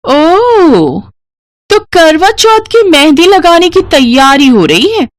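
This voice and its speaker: Woman playing high-pitched jealous sister-in-law. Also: very animated, slow pace, dry recording, full character voice. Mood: resentful.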